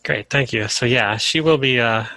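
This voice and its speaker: A man from Colorado leading a casual online class over a video call, relaxed and full of "uh" fillers. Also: medium pitch, moderate pace, no echo. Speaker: course instructor.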